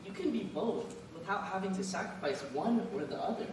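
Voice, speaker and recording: A young man playing a wise college professor on stage, speaking in a measured, reassuring lecturing way, recorded from far off in an echoey hall. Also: high voice, animated. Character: wise, calm male college professor giving counsel. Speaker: actor in a student stage play. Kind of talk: live stage acting. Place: university auditorium stage. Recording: echoey stage sound from far off, audience noise.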